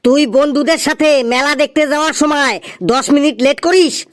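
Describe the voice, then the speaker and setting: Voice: high-pitched, normal speed, dry recording, studio quality, close to an everyday voice. A performer voicing a stern, scolding schoolteacher in a comedy cartoon, bossy and full of questions. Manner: bossy, interrogating.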